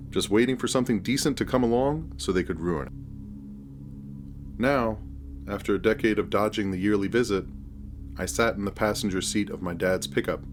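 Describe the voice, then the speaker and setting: Low voiced guy reading a scary story, first person, takes his time with it. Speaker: horror fiction narrator.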